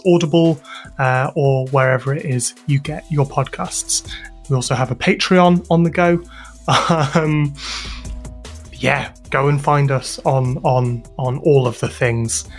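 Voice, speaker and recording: A British man giving casual interview answers as a guest, informal and hesitant as he thinks aloud. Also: a little high. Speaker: interview guest, podcast sound designer and composer.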